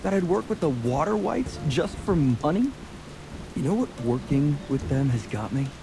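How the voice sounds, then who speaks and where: A cocky young video game rogue, with a slightly high male voice. He talks his way through everything, breezy and chummy. Game music and rain sit clearly behind him. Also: big pitch swings, moderate pace, poor audio. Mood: earnest, confident. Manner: breezy, smooth-talking, chummy. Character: cocky, fast-talking roguish young male scoundrel. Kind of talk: video game character acting.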